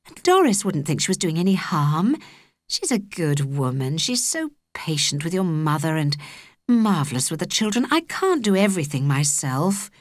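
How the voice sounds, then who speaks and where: Woman as a gentle, placating British mum. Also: low voice, very animated, moderate pace, no echo, clean studio sound. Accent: British English. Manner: gentle, reasoning, affectionate. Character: kindly, placating mother defending others.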